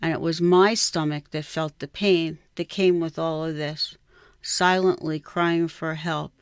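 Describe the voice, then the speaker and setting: A woman reading a scripted personal memoir in a measured, reflective way. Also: sits low, fairly even intonation, clear, resonant tone, dry recording, studio quality. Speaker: writer reading own piece. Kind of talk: scripted literary memoir narration.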